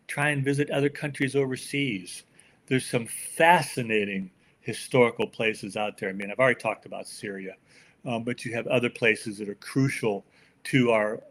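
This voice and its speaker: Older American male interview guest, mid-pitched voice, folksy and emphatic delivery. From United States. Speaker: podcast guest, author. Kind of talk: conversational interview answers.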